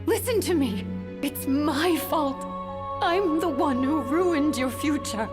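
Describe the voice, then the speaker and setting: A woman's voice: sits high, slow pace, over music. An actress playing a guilt-stricken noblewoman in a video game, tearful and pleading with solemn, formal diction. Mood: remorseful. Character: guilt-stricken noble young woman begging for punishment. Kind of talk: dramatic video game acting.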